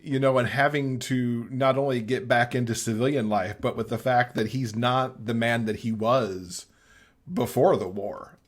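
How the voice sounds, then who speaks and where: A man's voice: mid-range voice, steady tone, moderate pace. A man in a casual book chat as a podcast panelist, talking in an appreciative, conversational way. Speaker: podcast panelist discussing romance novels.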